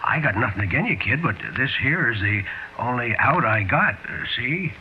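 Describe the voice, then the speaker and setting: An actor playing a sly, chuckling, quietly menacing fugitive crook in a 1940s radio drama, with ungrammatical American street slang. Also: male voice, mid-range voice, animated, normal speed, slight background noise, close to an everyday voice. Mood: coaxing menace. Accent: American, urban street slang. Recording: tinny, band-limited 1940s radio recording.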